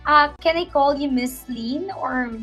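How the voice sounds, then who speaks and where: A woman's voice: high voice, measured pace, pushed and bright. A woman conducts an online consultation. She is polite and checks in with her client, with faint music in the background. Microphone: online call.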